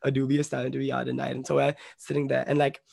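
Young man from Bombay, creaky and flat. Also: mid-range voice, quick pace, no echo, studio quality. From Bombay, India. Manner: rambling, swears a lot, many "like" fillers. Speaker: podcast guest. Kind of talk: casual storytelling.

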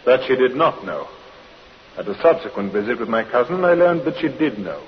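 An actor playing a formal, resentful gentleman witness in a radio courtroom drama, stiff and precise. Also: medium pitch, very animated, moderate pace, lightly acted. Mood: stiffly resentful. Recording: reverberant, muffled, hissy old radio recording.

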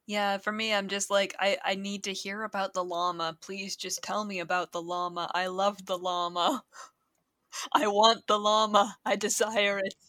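A woman co-hosting a podcast in casual chat, measured and dryly teasing. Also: medium pitch, little pitch movement, sharp tone, no room sound, studio quality. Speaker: podcast co-host.

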